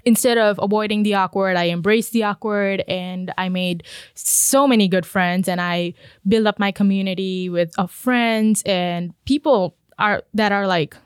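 A woman's voice: medium pitch, steady tone, unhurried, clear, resonant tone, no echo, clean studio sound. Chatty young woman from India. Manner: chatty, upbeat, with fillers and restarts. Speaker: student guest. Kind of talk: casual podcast chat.

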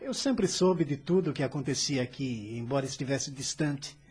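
An actor playing a domineering adult son in a radio drama, commanding then pleading. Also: male voice, medium pitch, expressive, moderate pace, darkish tone, breathy edge. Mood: persuasive.

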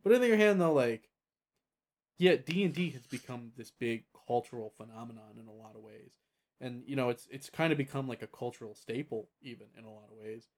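A man co-hosting a casual discussion, talking in a hesitant, measured way with repeated words and stammered restarts. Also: mid-range voice, darkish tone. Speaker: podcast co-host.

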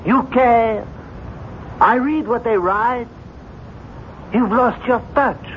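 High-pitched, blustering stern doctor. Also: male voice, slow pace. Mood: pressing, lecturing. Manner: emphatic, lecturing, declamatory. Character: stern, blustering male French doctor, scornful and pushy. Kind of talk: 1970s radio drama acting. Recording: muffled, noisy 1970s radio recording.

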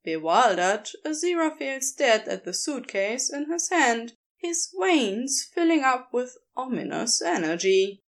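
A woman reading scripted fiction aloud as a narrator, with an expressive storytelling delivery. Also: high-pitched, unhurried, few pauses. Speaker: podfic narrator.

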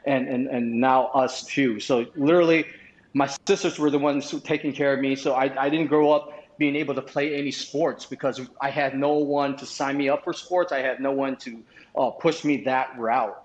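A man's voice: medium pitch, steady tone, moderate pace. A man from Vietnam in his thirties, a podcast guest telling his life story casually, rambling with many restarts and fillers. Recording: roomy, dull lo-fi sound.

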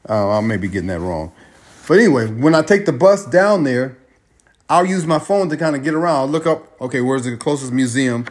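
A man's voice: medium pitch, expressive, a little fast. An American man talking in a loose, rambling way, repeating phrases and correcting himself as he goes. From United States. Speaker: traveler sending a voice message. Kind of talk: casual spoken monologue. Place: Australia.

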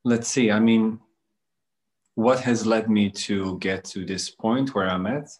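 A man from Bosnia, a spiritual coach, answering interview questions in a hesitant, musing way with many pauses. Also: on the low side, steady tone, darkish tone.

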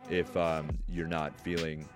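Deep-voiced guy on a podcast, thoughtful and open, little stutters and restarts, music going behind him. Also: monotone, a little slow. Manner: thoughtful, candid, speaks with some stuttering and restarts. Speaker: podcast guest. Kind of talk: casual podcast conversation.

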